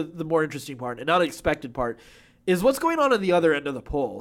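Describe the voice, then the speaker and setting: A man co-hosting a podcast, casually going over pop culture news and opinions. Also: on the high side. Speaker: podcast co-host.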